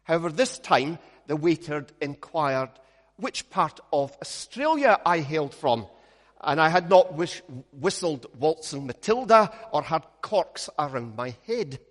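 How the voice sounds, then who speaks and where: A Scottish man preaches in a slow, measured way, with a fairly high voice for a man. He weaves light humor into his stories. His voice rings out with a long reverb, as in a large church. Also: sing-song. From Scotland. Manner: measured, storytelling with gentle humor. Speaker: minister. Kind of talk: sermon with opening prayer.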